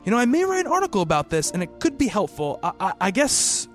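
A man's voice: high voice, wildly swooping pitch, quick pace. A man playing a worried, rational researcher in an eerie radio play, speaking as a thoughtful narrator and character over music. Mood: hesitant, concerned. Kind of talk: radio play dialogue and first-person narration.

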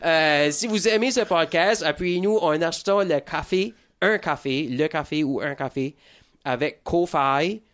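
A man's voice: a little high, measured pace, a bit bright. A man with an Acadian French accent from rural New Brunswick hosts a casual podcast, joking and rambling, mixing English words into his French. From rural Acadian New Brunswick, Canada. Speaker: podcast host.

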